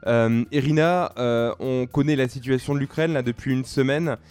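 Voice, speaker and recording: A man's voice: mid-range voice, moderate pace, a little mellow. Hesitant male radio host. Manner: hesitant, with many filler sounds. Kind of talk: radio interview.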